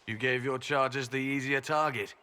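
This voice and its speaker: An actor playing a composed, earnest secret agent in a fantasy video game, measured and matter-of-fact. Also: male voice, medium pitch, steady tone, sharp tone, natural voice. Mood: businesslike.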